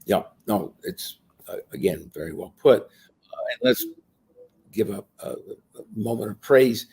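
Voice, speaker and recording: A man's voice: lowish voice, expressive, unhurried, gravelly, a little mellow, slightly rough. A man hosting an interview, affirming his guests and asking questions in a hesitant, searching way. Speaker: show host.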